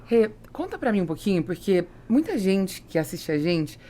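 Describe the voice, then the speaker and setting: A woman's voice: medium pitch, big pitch swings, moderate pace, warm tone. A woman with a Brazilian accent hosting a conversational business interview. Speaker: podcast host interviewing a guest.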